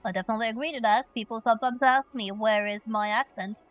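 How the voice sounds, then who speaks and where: Chatty Finnish woman streamer, higher voice. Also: quick pace, no echo, soft background music. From Finland. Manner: chatty and playful, musing aloud. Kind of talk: casual game commentary, reading questions aloud.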